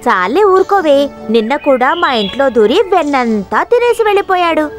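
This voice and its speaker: A woman's voice: high-pitched, very animated, brisk, soft background music. A woman voicing an exasperated village milkmaid in a children's cartoon, full of indignant complaint. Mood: indignant, complaining. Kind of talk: animated children's cartoon acting.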